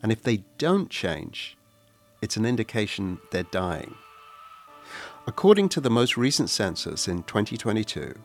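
A man hosting a radio documentary, narrating in a measured, reflective way and posing questions. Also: fairly low pitch. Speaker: radio host.

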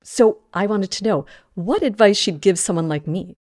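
A woman's voice: medium pitch, moderate pace, a little mellow. A woman reporter telling a story in a conversational podcast style.

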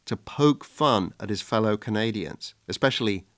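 Fairly low-voiced male radio interviewer. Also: animated, a bit bright. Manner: measured, thoughtful questioning.

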